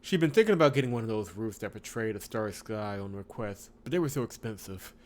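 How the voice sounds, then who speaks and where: A man hosting a visual novel playthrough, chatting casually and reading the story aloud in an expressive way with wry asides. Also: lowish voice, quick pace, a little hiss or hum. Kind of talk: casual let's-play commentary with read-aloud narration.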